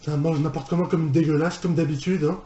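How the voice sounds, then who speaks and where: A man's voice: on the high side, steady tone, quick pace, highs cut off. A man giving casual, rambling reaction commentary as a video host, often repeating himself with mock exasperation. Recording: echoey room.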